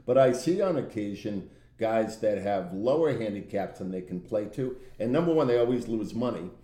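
A man co-hosting a podcast in casual, bantering chat with a loud, animated voice and a roomy, echoey sound. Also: fairly low pitch, moderate pace. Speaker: podcast co-host. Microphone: own podcast microphone.

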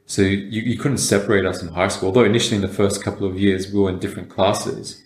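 A man's voice: low voice, fairly even intonation, fast, a little mellow. A young man co-hosting a podcast, explaining things in long, casual runs. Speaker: podcast co-host. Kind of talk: casual chat. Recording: echoey room with faint noise.

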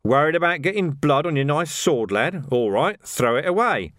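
Man voicing a gruff, wily veteran police sergeant. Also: fairly high pitch, expressive, moderate pace, a bit bright, no room sound, studio quality, pitched above the performer's own voice. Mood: taunting. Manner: taunting, blunt, streetwise. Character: gruff, wily veteran police sergeant drilling recruits, male, middle-aged.

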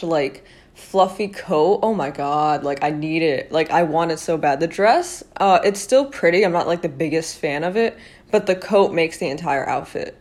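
A woman's voice: low voice, a little mellow. A young woman hosting a podcast, chatting casually about fashion with a congested, nasal voice and lots of fillers. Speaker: podcast host.

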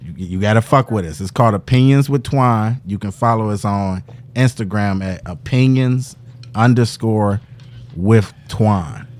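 A man's voice: lowish voice, a little slow, a little mellow. A man hosting a casual podcast, talking in slangy, teasing banter and laughing often into a studio mic. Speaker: podcast host.

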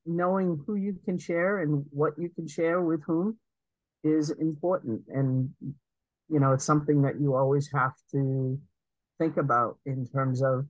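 Deep-voiced woman, earnest and thoughtful. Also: no room sound, muffled recording. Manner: earnest, thoughtful, speaks in long winding sentences. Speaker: guest, advocate. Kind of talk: reflective interview conversation.

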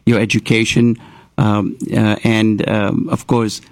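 A man's voice: fairly low pitch, flat delivery, slow, no room sound. A man who grew up in Houston, hosting a community talk show and interviewing a guest in an earnest, rambling way with many restarts.

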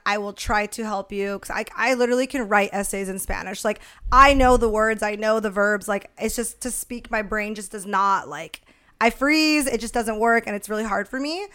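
A woman's voice: medium pitch, fairly bright tone, clean tone. A young woman in casual podcast conversation, candid and slangy with some casual swearing. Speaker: podcast co-host.

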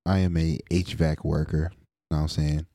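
A man's voice: rumbling low, little pitch movement, moderate pace, mellow, no room sound. A man in his late twenties, co-hosting a podcast in a laid-back, slangy, teasing way. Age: 20s. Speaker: podcast co-host. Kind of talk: casual chat.

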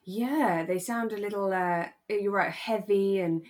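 Female podcast co-host, effusive and giggly, medium-pitched, relaxed conversational delivery. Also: a little slow. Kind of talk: casual chat about a radio drama.

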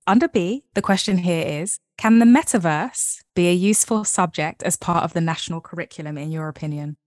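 Upbeat woman podcast host, low-ish voice. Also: fairly quick, no echo, clean studio sound. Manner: upbeat, playful, scripted-sounding intros. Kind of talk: hosting a tech-career podcast interview.

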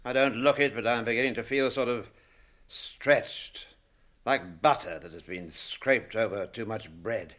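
A man's voice: lowish voice, a little slow, a bit bright. A British man playing a fussy, testy old hobbit in a radio drama, chatty and prickly in manner. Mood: wistful.

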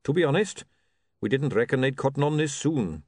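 A man voicing a blunt, glum dwarf printer who talks fast and matter-of-fact. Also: medium pitch, expressive, darkish tone, dry recording, studio quality, lightly acted. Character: gruff practical dwarf printer, male.

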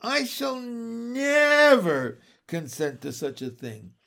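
A man's voice: on the high side, very animated, slow pace, full character voice. A man voicing a fussy, haughty lady dog owner in a storybook, indignant and wailing in her exclamations. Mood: distressed, pleading.

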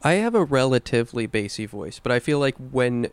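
A man with a low, smooth voice talks games on a podcast, earnest and deliberate with a dry wit. The sound is close and dry, with no room around it. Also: sing-song, no echo. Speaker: podcast co-host. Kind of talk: casual chat.

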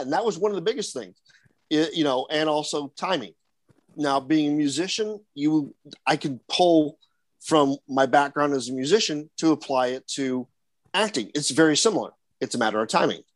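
A man with a slightly higher voice talks about his acting work at haunted attractions. He rambles, restarting sentences and filling gaps with "you know" and "uh." The sound is dry and close. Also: no room sound. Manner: rambling, many fillers and restarts. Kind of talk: casual panel chat.

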